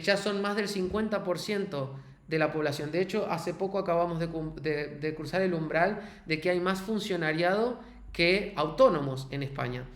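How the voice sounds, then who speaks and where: Host, man, Argentine accent, hesitant, thinks aloud with fillers, a bit high-pitched, echoey room. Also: a bit bright. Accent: Argentine Spanish. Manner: hesitant, restarts and fillers while reasoning.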